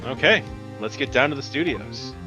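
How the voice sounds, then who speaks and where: A determined male lawyer, voiced by a man for a fan-dubbed game. He talks in a dry, conversational way with a lively range, over clearly audible music. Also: medium pitch, moderate pace, lo-fi sound, close to an everyday voice. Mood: dry, defensive. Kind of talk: fan voiced visual novel acting.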